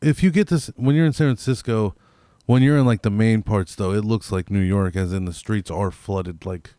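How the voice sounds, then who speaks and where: A man's voice: fairly low pitch, moderate pace, mellow, no room sound. A man chatting casually as a podcast co-host, with slangy, profane, rambling talk that piles up hypothetical examples. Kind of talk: casual chat, profane banter.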